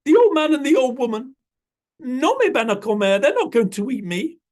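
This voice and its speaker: A storyteller voicing a small, tricky rabbit in a bilingual children's story, in a squeaky, rapid, pleading voice. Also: very animated, a little mellow.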